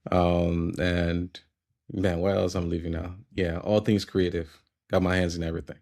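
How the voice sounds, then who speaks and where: A man from Liberia in his thirties speaks with a very low, deep voice. He talks in a relaxed, reflective way, pausing often with fillers as a guest in a conversational interview.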